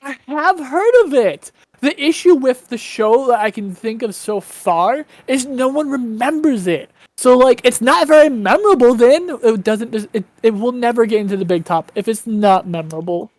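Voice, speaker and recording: A woman's voice: on the high side, lively intonation, normal speed, darkish tone, dry recording, natural voice. A woman playing a sly spider boss. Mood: dismissive. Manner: breezy, casual threats. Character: scheming spider circus boss, sly and menacing.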